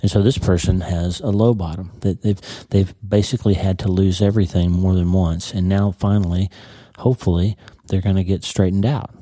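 A man hosting a podcast in a casual motivational talk, his voice congested and a little croaky, often repeating words as he goes. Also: sits low, flat delivery, moderate pace, mellow, no echo. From United States. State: congested, stuffy. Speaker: podcast host.

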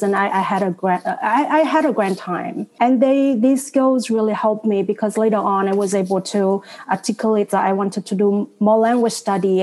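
A woman in her thirties, a university teacher, talking on a panel in a reflective, halting way with frequent restarts. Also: medium pitch, darkish tone, low-quality recording. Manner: hesitant, restarts often, reflective. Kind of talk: casual panel talk.

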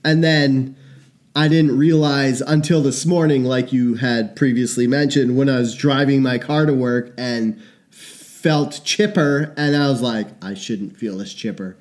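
A young man co-hosting a podcast in casual chat, animated and quick to laugh. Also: mid-range voice. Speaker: podcast co-host.

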